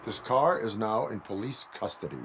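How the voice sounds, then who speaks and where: A stoic cyborg policeman for a video game. His low voice is deadpan and clipped, with stiff formal phrasing and absolute authority. Noticeable ambient noise sits behind him. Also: normal speed. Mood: commanding, cold. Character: stoic male cyborg police officer, rigidly lawful. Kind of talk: video game cutscene acting.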